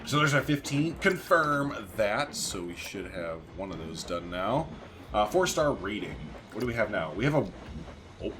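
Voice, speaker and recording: A man's voice: medium pitch, background noise. A man giving casual let's-play commentary as a gaming video host, thinking aloud in informal, slangy language.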